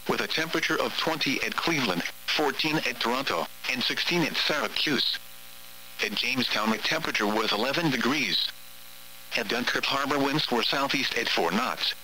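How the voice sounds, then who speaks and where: A synthetic man's voice, extremely bright and crisp-edged, reads a weather forecast like an automated radio announcer. The delivery is formal and measured, at a medium pitch. A faint hum runs under the radio broadcast. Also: low-quality recording. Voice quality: synthetic text-to-speech voice. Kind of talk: scripted weather forecast reading.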